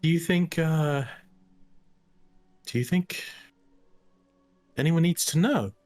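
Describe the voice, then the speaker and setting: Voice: medium pitch, darkish tone, soft background music, voice pushed down, natural voice. A player voicing a hesitant young male companion who stammers and halts often. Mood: flustered. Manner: stammering, halting.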